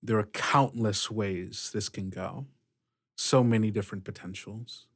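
A man narrating a tabletop roleplaying game as game master, improvising in short, halting phrases. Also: on the low side, breathy edge, dry recording, studio quality.

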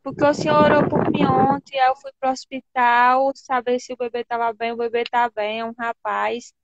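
A woman calling into a live stream on her phone, softly telling a testimony. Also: fairly high pitch, little pitch movement, normal speed, muffled recording, very noisy. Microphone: phone on a video call.

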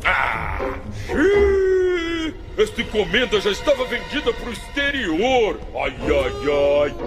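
Fretful, grumbling henchman: high voice, Brazilian accent, drawn-out exclamations, music underneath. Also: huge pitch swings, full character voice. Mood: irritated. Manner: whiny, exclamatory, dragging words. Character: grumbling, fretful male henchman, sneaky and callous. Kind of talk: dubbed children's cartoon acting. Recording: music playing behind the voice.

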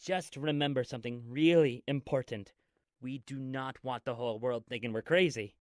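A man's voice: medium pitch, normal speed, dry recording, clean studio sound, natural voice. A man voicing an earnest, resolute young alien soldier in a video game, serious and emphatic. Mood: friendly. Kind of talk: video game cutscene acting.

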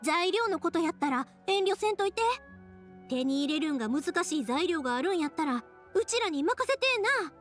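An eager, friendly young woman from an anime game, with a very high voice and a Kansai accent. Her pitch moves in a lively way, with music behind her. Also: a little fast. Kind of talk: Japanese video game anime voice acting. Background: background music.

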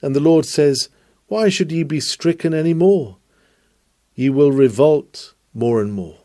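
A man reads a sermon aloud in an earnest, exhorting manner, with formal, measured oratorical phrasing and searching rhetorical turns. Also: a little high, warm tone, no room sound, clean studio sound. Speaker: reader of a historic sermon.

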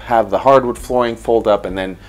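A man in his thirties hosting a design show, walking through a floor plan in an animated way from his home office on a lapel mic. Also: lowish voice, a little fast, low-quality recording. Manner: animated, gestures while explaining. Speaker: interior designer host.

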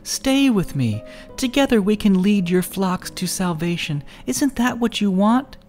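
A man voicing an anguished young woman, pleading and defiant, in a strained high voice in an audiobook. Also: very animated, measured pace, darkish tone, soft background music.

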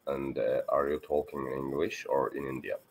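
Very deep-voiced young man, curious. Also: level tone, normal speed. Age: 20s. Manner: curious, asks short questions. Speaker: video-call guest. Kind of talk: casual video-call chat. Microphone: phone.

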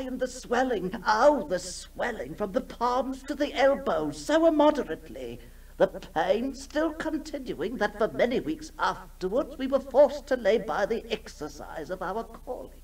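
A woman playing a distressed, lamenting village woman in a 1960s radio drama. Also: a little high. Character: distressed woman who laid out a corpse, recalling pains. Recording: distant 1960s radio recording.

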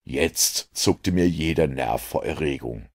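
A man narrating a detective audiobook in a measured, grave way. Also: a bass voice, a little mellow, husky. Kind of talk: scripted narration.

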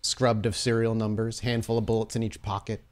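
Low-voiced man as game storyteller. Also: flat delivery, a little fast. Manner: descriptive, scene-setting. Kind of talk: narrating an actual play tabletop game.

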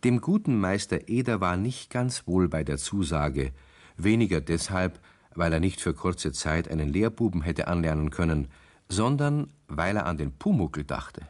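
A man's voice: low voice, animated, normal speed, darkish tone. A man narrating a 1960s German radio play in storybook style.

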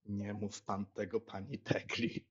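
A man playing a frank, teasing young Polish country squire in an animated, expressive audiobook performance. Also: lowish voice, a little slow, slightly rough, highs cut off. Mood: frank, chatty. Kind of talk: dramatised audiobook acting.

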